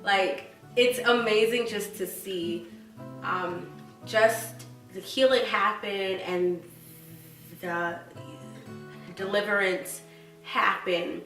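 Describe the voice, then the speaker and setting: Woman vlogger with a stutter, unhurried and reflective, roomy sound from a distance. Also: medium pitch, fairly even intonation, a bit bright, soft background music. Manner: earnest, reflective, many fillers and restarts. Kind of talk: casual personal chat to camera.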